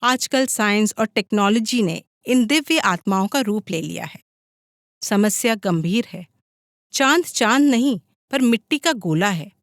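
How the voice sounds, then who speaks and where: A woman's voice: medium pitch, lively intonation, measured pace, no room sound, clean studio sound. A woman reading a scripted audiobook as its narrator.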